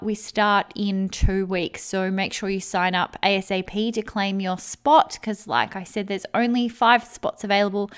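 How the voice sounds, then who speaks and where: A woman's voice: mid-range voice, a bit bright, smooth. A woman hosting a podcast, a little sick with a sore throat, talking casually and upbeat with many ums. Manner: chatty, upbeat, frequent ums. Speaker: podcast host and weight loss coach.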